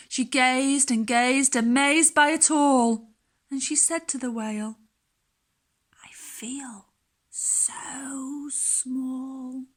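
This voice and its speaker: A woman reads a children's storybook aloud. Her voice sits a little high and her pace is extremely unhurried. She is dramatic and measured, letting each rhyme linger. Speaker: teacher reading a storybook aloud. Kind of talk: expressive scripted children's story reading.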